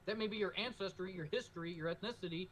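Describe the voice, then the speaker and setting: A man's voice: sits high, fast, slight background noise, low-quality recording. An American man co-hosting a casual political podcast, talking in an emphatic, lecturing way and repeating his points. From United States. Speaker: co-host.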